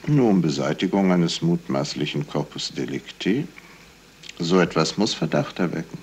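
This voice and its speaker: An actor playing a middle-aged investigator in a 1970s radio crime play, courteous, measured and insistently probing. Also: male voice, very deep voice, expressive, darkish tone, slight background noise. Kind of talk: 1970s German radio crime drama acting.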